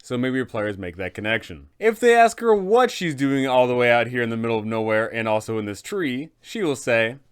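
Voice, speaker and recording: A man's voice: mid-range voice, sing-song, a little fast, hardly any pauses, smooth. A man hosting a tabletop game guide, explaining in an emphatic, conversational way as a game master would. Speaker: host, game master giving advice.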